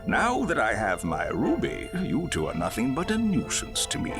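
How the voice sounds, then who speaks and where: An actor playing a pompous, scornful toad crime boss in a theatrical, gloating, grandiose manner for a video game. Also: male voice, medium pitch, wildly swooping pitch, music in the background. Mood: smug menace. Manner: grandiose, pun-loving, deliberate.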